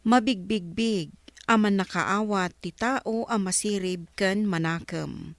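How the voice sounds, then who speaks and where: A woman with an Ilocano Filipino accent giving a scripted radio drama announcement in deliberate phrases. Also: medium pitch, dry recording.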